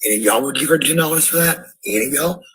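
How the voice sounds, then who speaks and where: A woman with a husky voice, a parent, scolding and sarcastic in a heated domestic argument. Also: a bass voice, fast talker, fairly bright tone.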